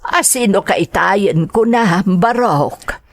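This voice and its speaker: A woman playing a cautious mother of a grown daughter, questioning in a measured way with an Ilocano accent in a radio drama. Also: medium pitch, dry recording. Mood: wary, probing. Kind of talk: Filipino radio drama acting.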